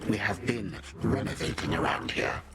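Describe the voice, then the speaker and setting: A man's voice: lowish voice, normal speed, heavy background noise, close to an everyday voice. An actor playing an ancient, suave Titan grandfather in a video game, courtly, condescending and menacing in his delivery. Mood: mocking courtesy. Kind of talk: theatrical video game villain acting.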